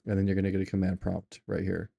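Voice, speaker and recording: A young man walking through a software install in a casual tutorial, rambling with lots of fillers and restarts. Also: low-pitched, monotone, fast talker, vocal fry, breathy edge. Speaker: tutorial maker.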